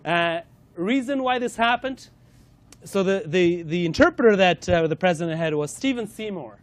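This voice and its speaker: A man's voice: high-pitched, lively intonation, normal speed. A man presenting a corporate lunch-and-learn to a live audience, running a playful quiz and talking with frequent fillers and restarts.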